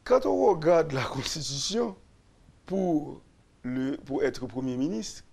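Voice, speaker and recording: A man, a lawyer and radio guest, explaining constitutional articles slowly, repeating and restarting phrases. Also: on the high side, lively intonation, gravelly, a little mellow. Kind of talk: explaining constitutional law on a radio talk show.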